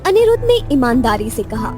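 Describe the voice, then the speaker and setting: High, expressive woman narrator. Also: moderate pace, faint music behind. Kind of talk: scripted romantic story narration.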